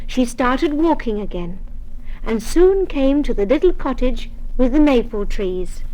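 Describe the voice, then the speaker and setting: A woman narrates a children's storybook in a high, gentle voice. Her delivery is slow and deliberate, and every word comes through clearly for young listeners. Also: lively intonation, poor audio. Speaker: story reader.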